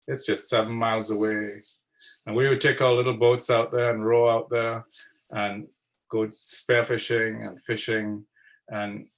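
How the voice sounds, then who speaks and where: Gravelly low-voiced man giving a slide talk. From Trinidad and Tobago. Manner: unhurried, searching for words with fillers and repeats. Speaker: guest speaker.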